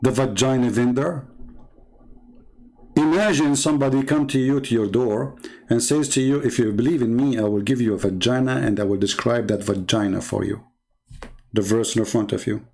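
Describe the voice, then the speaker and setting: A man's voice: mid-range voice, steady tone, moderate pace, a little mellow. Sarcastic man with an Arabic accent. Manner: mocking, scornful, sarcastic laughs. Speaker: online debater. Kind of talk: combative commentary while reading comments.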